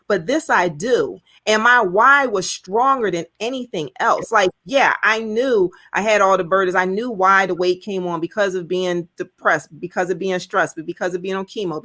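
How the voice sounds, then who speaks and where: A woman's voice: medium pitch, fairly bright tone, no echo. A woman in her fifties hosting a challenge group, talking in an earnest, personal way and repeating key points for emphasis. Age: 50s. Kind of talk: casual group talk.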